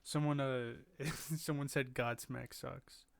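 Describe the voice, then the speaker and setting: A young man co-hosting a podcast, casual and deadpan, throwing short teasing jabs. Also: medium pitch, takes it slowly, creaky, a crowd in the background. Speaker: podcast co-host. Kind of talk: casual chat.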